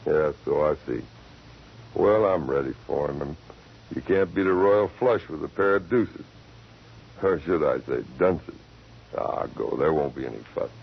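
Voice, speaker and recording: A very deep-voiced, gravelly cowboy killer in a 1940s radio play. He is cool, laconic and sardonic, a cynical charmer. The old broadcast sounds muffled. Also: expressive, unhurried, exaggerated voice. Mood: resigned, wry. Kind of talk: 1940s radio drama acting.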